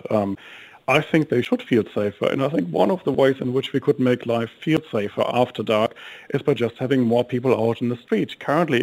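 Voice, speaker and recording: Brisk German-accented man making his case. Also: medium pitch, darkish tone, low-quality recording. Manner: fluent, brisk, with ums while arguing. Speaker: think tank guest. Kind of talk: interview on a talk radio show.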